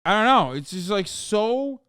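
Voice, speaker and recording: Profane, animated male streamer reacting. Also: high-pitched, a little slow, clear, resonant tone, dry recording, clean studio sound. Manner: blunt, profane, animated and self-mocking. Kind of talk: live reaction commentary.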